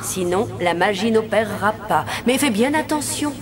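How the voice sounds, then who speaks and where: A woman voicing a grave, mysterious fairy queen in an animated fairy tale, speaking slowly and solemnly. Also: medium pitch, crowd noise, natural voice. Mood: grave warning. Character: fairy queen, formerly kindly old lady, grave and mysterious.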